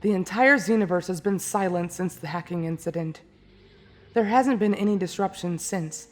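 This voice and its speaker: A woman's voice: fairly low pitch, moderate pace, close to an everyday voice. A woman playing a serious, skeptical, proud female warrior who speaks formally and guardedly in an anime fan drama.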